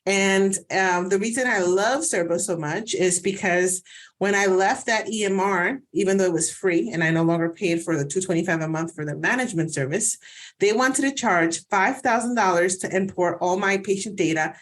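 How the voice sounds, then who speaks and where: A woman's voice: medium pitch, moderate pace. A woman physician from New Jersey, whose first language is Spanish, chatting in an interview and telling anecdotes with frequent restarts. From New Jersey, USA. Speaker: physician, guest. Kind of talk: casual interview conversation.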